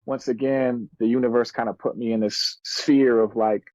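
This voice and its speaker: A man's voice: medium pitch, fairly even intonation, moderate pace, a little mellow, no room sound, rough recording. A man in his thirties telling stories casually as a musician guest on a podcast, in laid-back, slangy, earnest and reflective speech. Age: 30s.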